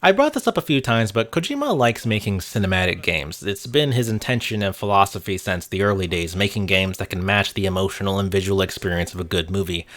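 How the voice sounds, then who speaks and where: A man's voice: sits low, animated, brisk, hardly any pauses, fairly bright tone. A man narrating a casual video game essay with wry, sarcastic commentary and casual swearing. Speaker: host of a video game review channel.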